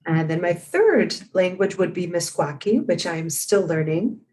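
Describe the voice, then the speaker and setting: A woman voicing a live sign language interpretation, her tone steady and measured, her pitch a little low. She sits well back from the mic on a video call, so she sounds distant. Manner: measured, steady, spells names letter by letter.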